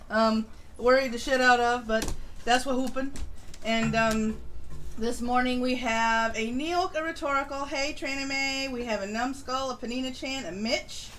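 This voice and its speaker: Female morning-show host, higher register, informal and sarcastic, slight noise behind her. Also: sharp tone. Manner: self-deprecating, dryly sarcastic, swears casually. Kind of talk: casual livestream chat, reading out chat greetings.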